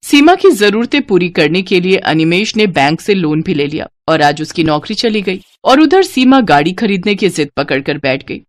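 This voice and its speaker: A woman's voice: on the low side, sing-song, normal speed, few pauses, dry recording. A woman narrating a scripted moral story as a storyteller.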